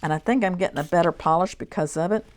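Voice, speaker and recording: Low-voiced woman demonstrating in a workshop. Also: fast talker. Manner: relaxed, thinking aloud while working. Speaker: shear sharpening instructor. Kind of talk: hands-on demonstration talk.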